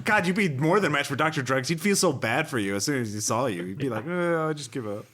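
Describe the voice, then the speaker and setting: A man's voice: mid-range voice, lively intonation, quick pace, a bit bright. A man co-hosting a podcast in casual, joking conversation. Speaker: podcast co-host.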